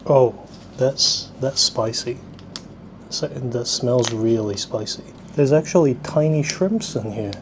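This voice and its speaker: Male food-vlog host, average pitch, rambling and self-deprecating, recorded amid clear ambient noise. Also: darkish tone. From South Korea, at least partly. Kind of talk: casual food-tasting vlog chat. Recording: clearly noisy ambient background.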